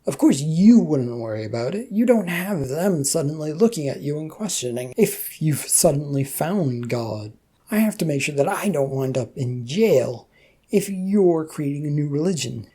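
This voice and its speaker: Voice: mid-range voice, expressive, normal speed, warm tone, husky. A narrator voicing an anxious, exasperated man with a hesitant, sarcastic manner in a story reading. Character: exasperated, anxious everyman, reluctant prophet of a god.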